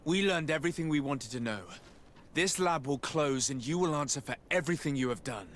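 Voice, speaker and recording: An actor playing a resolute noble envoy in a game, composed, formal and authoritative. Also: male voice, on the high side, brisk, fairly bright tone, slight background noise, lightly acted. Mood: stern.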